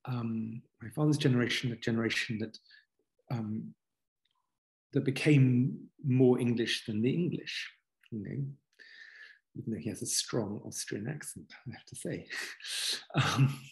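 A man in his fifties, a guest author on a video call from a book-lined home study, talking earnestly in a halting way with many restarts. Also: medium pitch, vocal fry, poor audio. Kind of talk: reflective conversational interview. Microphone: video call webcam.

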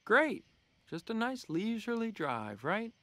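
Cartoon game race car: young, American, casual, wry, confident, lively range. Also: male voice, sits high, measured pace, no room sound, exaggerated voice. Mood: relaxed, fond. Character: confident, good-natured young male race car hero.

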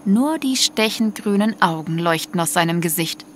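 A woman's voice: fairly low pitch, animated, normal speed, a little hiss or hum. A woman narrating a scripted audio story in prose. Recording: music under the voice.

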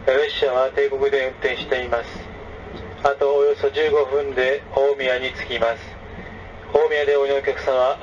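Male conductor, formal PA announcements, dull distant speaker sound over loud train rumble. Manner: formal, measured, with frequent "e" fillers. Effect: public address speaker. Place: moving overnight sleeper train. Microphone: train public address system, recorded from a passenger seat. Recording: distant, dull, noisy 2006 recording of train PA. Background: train running noise.

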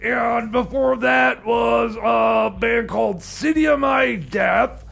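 A bumbling comedy radio DJ with a very high, strained voice full of gravel. He stammers and rambles through his announcements, exasperated and flustered, tripping over fillers. The tone is raspy and far from smooth. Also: takes it slowly, a bit bright, shouting, heavily acted. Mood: halting, muddled. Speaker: radio DJ and host. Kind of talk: comedy radio theater, bumbling on-air banter.

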